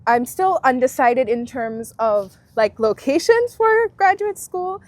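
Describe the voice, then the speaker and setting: A young woman from Guyana speaks as a guest in a casual interview, thinking aloud and stopping to rephrase. Her voice sits a little high. She sounds friendly and reflective. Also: animated, unhurried, a little mellow, dry recording. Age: 20s. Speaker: alumna guest.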